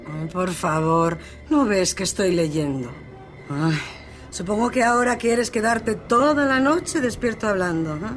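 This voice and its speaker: A woman's voice: medium pitch, expressive, measured pace, faint music behind, lightly acted. An actress playing a weary, scornful mother who sounds exasperated and sarcastic in a Spanish radio drama. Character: weary, scornful, nagging mother of a grown son.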